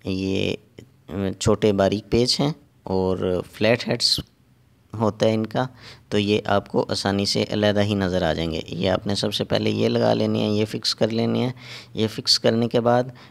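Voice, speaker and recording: A man narrating a hands-on repair tutorial step by step, halting and repeating himself as he works. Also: on the low side, fairly even intonation, gravelly. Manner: halting and repetitive, pausing while working. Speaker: repair technician giving a tutorial.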